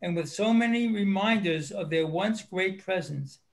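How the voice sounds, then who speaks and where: A man reading his prepared book review aloud, in a measured, earnest way with small stumbles. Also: high-pitched.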